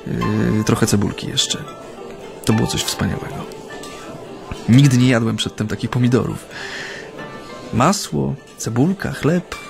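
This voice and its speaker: A man's voice: medium pitch, measured pace, creaky, pauses often. An actor playing a genial Japanese man reminiscing hesitantly and reflectively about his life, in a conversational radio drama. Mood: nostalgic, reflective. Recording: studio radio play with music.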